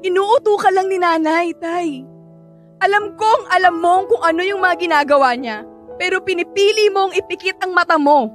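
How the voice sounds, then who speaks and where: A woman's voice: very high-pitched, moderate pace, fairly bright tone, faint music behind. A woman playing a distraught, resentful teenage daughter in a radio drama, pleading and emotional. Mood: hurt, resentful.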